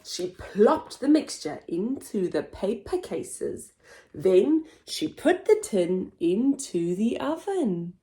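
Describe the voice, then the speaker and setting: A woman hosting a children's story time, reading aloud in an animated, expressive, theatrical way for very young listeners. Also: medium pitch, takes it slowly. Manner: expressive, theatrical, with gasps and whispers. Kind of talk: animated read-aloud for toddlers.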